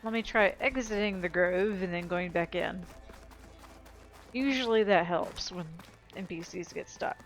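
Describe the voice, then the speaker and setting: A woman's voice: on the low side, unhurried, soft background music. A woman giving casual gameplay commentary as a let's play host, chatting and thinking aloud as she goes.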